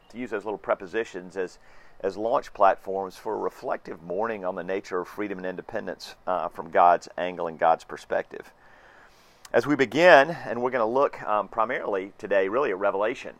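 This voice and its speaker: Hoarse pastor preaching. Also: male voice, lowish voice, a little fast. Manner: earnest, reflective, with restarts and emphatic repetition. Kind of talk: sermon.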